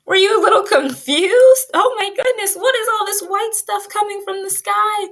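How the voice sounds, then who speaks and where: A woman teaching pre-kindergarten children in an animated, child-directed way, asking questions as she leads a read-aloud. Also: very high voice, moderate pace.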